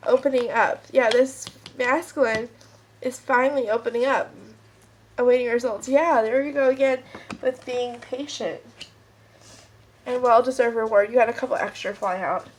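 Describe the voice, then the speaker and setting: A woman's voice: a little high, steady tone, normal speed, a little hiss or hum, poor audio. Stuffed-up woman reading tarot cards. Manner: casual, rambling, intuitive. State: stuffed-up nose, sniffling. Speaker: tarot card reader. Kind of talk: pick-a-card tarot reading.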